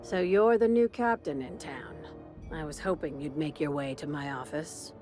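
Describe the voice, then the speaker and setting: A confident, sardonic executive, voiced by a woman in a game, with a dry, businesslike delivery. Her voice sits very low, and faint music plays behind her. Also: moderate pace, lightly acted. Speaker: company chief executive.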